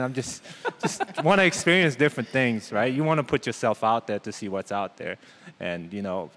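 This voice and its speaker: A man's voice: mid-range voice, a bit bright. A man from Haiti speaking conversationally as a guest, explaining his own views and story. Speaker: panel guest from a church.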